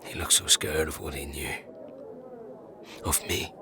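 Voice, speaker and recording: A man playing a troubled, self-doubting young man in a dark fantasy audio drama, with a gravelly voice and hesitant, brooding delivery. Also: rumbling low, fairly even intonation, measured pace, crowd noise. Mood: downcast.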